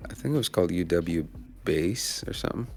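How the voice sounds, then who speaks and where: A man from Nigeria, a guest on a podcast, chatting in a relaxed, reflective way. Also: deep voice, fairly quick, creaky. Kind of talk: casual chat.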